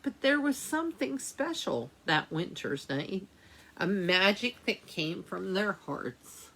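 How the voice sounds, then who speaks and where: A woman reading a picture book aloud to young children as a library storytime host, with dramatic, drawn-out phrasing and chatty asides. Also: medium pitch, lively intonation, gravelly, bright, forceful tone, slightly rough, rough recording.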